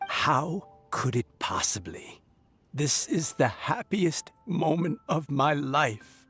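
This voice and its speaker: A man voicing a kindly, wistful old grandfather in a game, speaking tenderly and haltingly, full of sentiment. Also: on the high side, very animated, fairly bright tone, breathy edge, faint music behind, exaggerated voice. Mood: wistful, fond. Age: older. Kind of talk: dramatic game voice acting.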